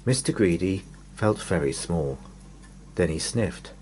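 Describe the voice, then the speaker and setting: Slow, highly animated male storyteller. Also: slight background noise. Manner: dramatic, lingering on repeated words. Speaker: children's story reader. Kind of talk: expressive bedtime storybook narration.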